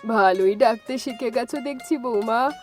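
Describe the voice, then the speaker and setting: A woman's voice: a little high, normal speed, close to an everyday voice. Woman playing a patient old village grandmother, music behind. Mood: content, affectionate. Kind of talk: cartoon story dialogue in Bengali.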